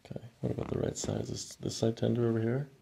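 A man's voice: medium pitch, wildly swooping pitch, quick pace, creaky, dark tone, a little hiss or hum. A man in his thirties talking a patient through a hands-on chiropractic exam, explaining each step. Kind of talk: hands-on clinical talk to a patient. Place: small carpeted clinic office, indoors.

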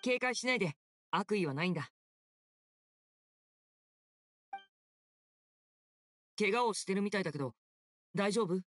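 A man playing a polite, gentle, self-possessed young stage magician in an anime game, courteous and reassuring. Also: high-pitched, animated, fast.

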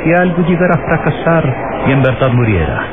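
An actor in an old radio drama playing a scheming villain who poses as a grieving father, theatrical and halting. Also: on the high side, sing-song, narrow, tinny audio. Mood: sinister muttering. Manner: theatrical, halting, stammering when addressed. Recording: thin vintage radio sound, heavy background noise.

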